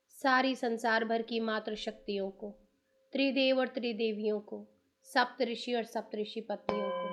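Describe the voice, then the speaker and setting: A woman with an Indian accent guides a meditation in a soothing manner, giving instructions in short, unhurried phrases. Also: a little high. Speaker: meditation guide, channel host.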